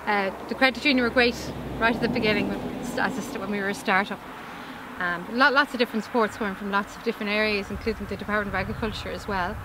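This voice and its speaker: A woman's voice: mid-range voice, fairly even intonation, quick pace, background noise. Upbeat woman farmer talking outdoors. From Ireland. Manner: chatty, upbeat, frequent restarts. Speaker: organic farmer. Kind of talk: outdoor interview. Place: outdoors in a vegetable field. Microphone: camera mic, standing interview.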